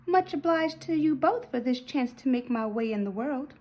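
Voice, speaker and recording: A woman acts out a precocious storybook baby girl. She is polite and determined, speaking in folksy, formal declarations with lively rises and falls and a slightly husky edge. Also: high voice, normal speed, voice pushed up. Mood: polite, assured. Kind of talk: children's storybook read-aloud.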